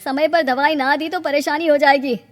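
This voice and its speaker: An older father-in-law in an animated family story, voiced by a woman narrator, pitched high for the part. He is fussy and earnest, doting and full of instructions, over background music. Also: moderate pace, big-room sound, lightly acted. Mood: bossy, fussing.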